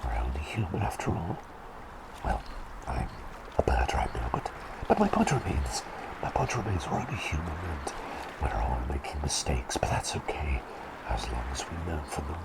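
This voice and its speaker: A gentle, bumbling bird played by a man whispers in a very low, creaky voice for an ASMR roleplay. The delivery swoops up and down widely, hesitant and polite, with phrases softly repeated. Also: very animated, normal speed, very noisy, heavily acted. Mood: bashful storytelling. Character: gentle, bumbling talking bird doctor, kindly and silly.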